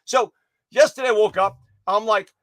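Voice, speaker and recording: A man giving an energetic livestream pep talk to his viewers, his voice hoarse and slightly raspy, rambling with many restarts and self-corrections. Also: sits high, moderate pace, dry recording, clean studio sound. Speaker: motivational speaker giving a daily pep talk.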